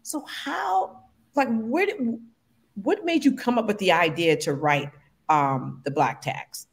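A woman in her forties hosting a casual livestream interview over a video call from home, chatty and animated, addressing viewers as family. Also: mid-range voice, slow pace, creaky, husky. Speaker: host. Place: home room with plants. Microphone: video call webcam.

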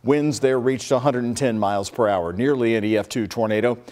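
An older man reading the evening news from a studio desk in a steady, formal delivery. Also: mid-range voice, brisk. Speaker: news anchor.

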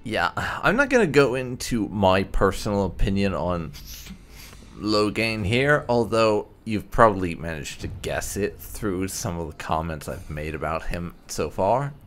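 A man's voice: low-pitched, lively intonation, measured pace, fairly bright tone. A man giving casual commentary as host of a game playthrough. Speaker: gaming video host. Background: faint game music.

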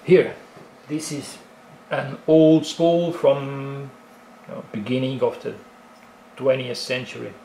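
A man's voice: mid-range voice, flat delivery, slow pace, long pauses, dark tone, roomy sound, slight background noise, low-quality recording. A man with an Estonian accent casually explaining film cameras in a tutorial, with frequent fillers and restarts. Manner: talks with many fillers and restarts, explaining step by step. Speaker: film photography hobbyist presenter.

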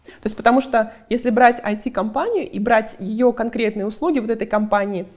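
A woman PR agency head giving interview answers, brisk and talkative, explaining through client examples. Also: fairly high pitch, darkish tone. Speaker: PR agency head, guest expert.

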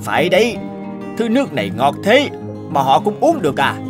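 A man's voice: on the high side, wildly swooping pitch, normal speed, over music. A man playing a grumpy, indignant old teahouse regular in a cartoon, complaining and scolding. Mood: disapproving. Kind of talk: Vietnamese cartoon dub.

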